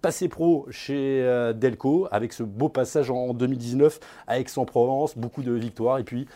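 A male host introduces his guest and keeps the talk moving with chatty, prompting questions. His voice has a mellow, dark warmth at a middle pitch. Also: normal speed. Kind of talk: interviewer presenting a guest.

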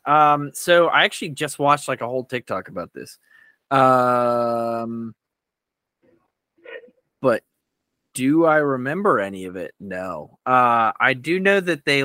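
A man with a bright, lively voice that swings widely in pitch. He banters casually as a podcast co-host, joking and animated as he works through quiz answers. The sound is close and dry. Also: slow, smooth, no room sound.

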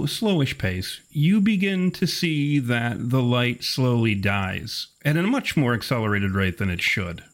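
A man acting as game master, narrating a spooky tabletop roleplaying game in ornate, deliberate wording. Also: medium pitch.

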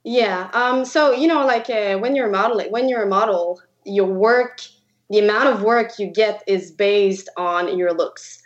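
Québécois woman guest, 30s, halting second-language English, dull lo-fi line. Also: mid-range voice. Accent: Québécois French. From Quebec, Canada. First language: French. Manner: halting, searches for words. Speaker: guest photographer. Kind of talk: podcast interview.

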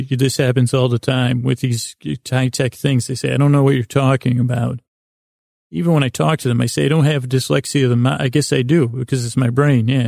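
A man hosting a sleep podcast, meandering through a halting, self-correcting bedtime monologue in a creaky voice. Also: mid-range voice, a little mellow, dry recording, studio quality.

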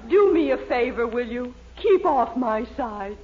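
A woman's voice: a little high, expressive, measured pace, narrow, tinny audio, crowd noise. An actress playing an exasperated, wry woman in a 1940s radio comedy, on a noisy recording with an audience.

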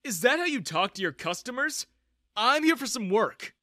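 A man's voice: sits high, lively intonation, normal speed, sharp tone, no echo, studio quality, voice pushed up. A man voicing a determined, indignant eighteen-year-old in a narrated story. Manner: earnest, defiant.

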